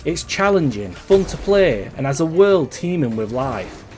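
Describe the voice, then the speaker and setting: A man reading a scripted video game review in a measured, articulate way, as a reviewer would. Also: a little high, very animated, darkish tone, slight background noise. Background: background music.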